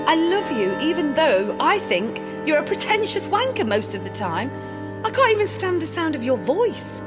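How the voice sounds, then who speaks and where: Woman acting a high-voiced, volatile, needy girlfriend. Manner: profane, blunt, emotionally intense. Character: volatile, needy, cutting girlfriend arguing with her boyfriend. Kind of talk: audio drama acting, intimate dialogue. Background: music.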